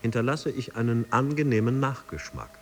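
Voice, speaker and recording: A man's voice: medium pitch, expressive, fairly quick, soft background music, close to an everyday voice. An actor playing an eager male trainee who recites corporate self-affirmations in a radio drama. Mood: dutiful reciting. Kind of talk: chanted motivational affirmations. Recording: long hall reverb.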